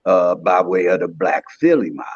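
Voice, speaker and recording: A man's voice: low-pitched, animated, normal speed, husky, no room sound. A man in his sixties, a podcast guest speaking in an earnest, streetwise, plain-spoken way in casual conversation. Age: 60s. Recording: dry, dull lo-fi sound.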